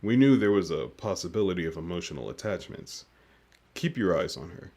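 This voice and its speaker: An actor playing a cold, controlling leader of a covert team, formal and measured, in an audio drama. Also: male voice, low-pitched, expressive, darkish tone, slight background noise. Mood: cold, controlled.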